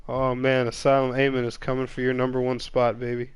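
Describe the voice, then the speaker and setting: Stuffy-nosed guy w/ a cold, rambly gaming host, smooth close dry mic. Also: medium pitch, completely flat delivery, brisk, no echo, lo-fi sound. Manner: rambling, chatty, apologetic. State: congested with a cold. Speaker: stream host. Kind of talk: casual gaming podcast chat.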